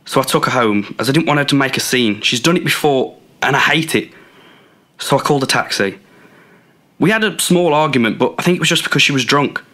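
Actor as controlling young boyfriend. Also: male voice, mid-range voice, brisk, sharp tone, natural voice. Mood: defensive, measured. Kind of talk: naturalistic student radio drama acting.

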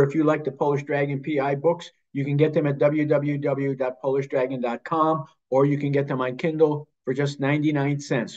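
A man's voice: on the high side, a little mellow. A middle-aged man hosting a show, giving a scripted sign-off over a computer mic. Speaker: show host. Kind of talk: scripted outro to camera. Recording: dull webcam video-call audio.

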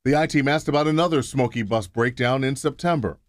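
A middle-aged man reporting an investigative news story in a measured, emphatic scripted delivery. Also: medium pitch, no echo, studio quality.

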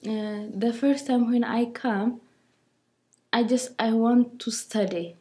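A sixteen-year-old young woman with an Ethiopian accent, giving a personal account in halting, simple English. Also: a little high, steady tone, mellow. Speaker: school student.

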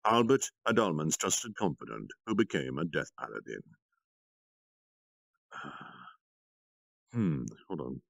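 A man reading a story aloud on a livestream, with expressive narration and dramatic, measured phrasing. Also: sits low, creaky, dry recording. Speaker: storytime streamer, reader.

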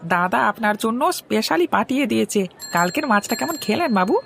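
A woman's voice: fairly high pitch, lively intonation, a little fast, gravelly, fairly bright tone, exaggerated voice. A woman voicing an eager, deferential village market vendor in a Bengali cartoon story, with a Bengali accent. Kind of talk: Bengali animated story voice acting.

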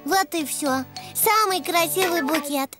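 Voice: very high-pitched, measured pace, loud music behind the voice. A performer voicing a cheerful, eager little donkey boy in a preschool cartoon, exclaiming and thinking aloud in a childlike way. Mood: busy, musing.

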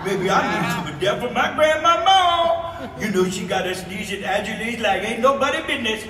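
Bright, exuberant little Cajun firefly, folksy cartoon delivery, recorded from far off in a hall. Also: male voice, very high-pitched, expressive, moderate pace, faint music behind, exaggerated voice. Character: small, lovestruck, feisty Cajun cartoon firefly. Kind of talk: live cartoon voice performance. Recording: distant, echoey convention hall recording.

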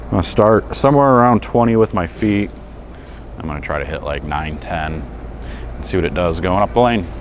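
A man's voice: sits low, lively intonation, brisk. A man in his forties giving an easygoing, unscripted product review in a casual, conversational way. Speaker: product reviewer and bowling coach. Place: indoors in a pro shop and bowling alley. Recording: clear background noise of bowling alley.

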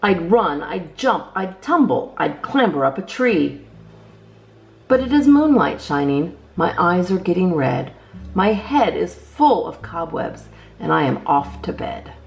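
A woman reading children's poems aloud as a storytime host, with expressive, measured phrasing. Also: on the low side, creaky, faint music behind.

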